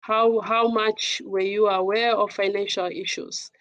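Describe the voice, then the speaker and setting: A woman speaking as an advocate on a panel in a formal, measured and somewhat hesitant manner. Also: mid-range voice, little pitch movement, a bit bright, no room sound, rough recording. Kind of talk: panel talk introducing herself and discussing.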